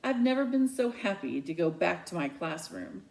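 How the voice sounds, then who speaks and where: A man's voice: high voice, sing-song, moderate pace, vocal fry, darkish tone, full character voice, rough recording. A woman voicing a resentful, sarcastic middle-school boy in a children's novel read aloud. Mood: bitter, sarcastic. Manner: indignant, sarcastic.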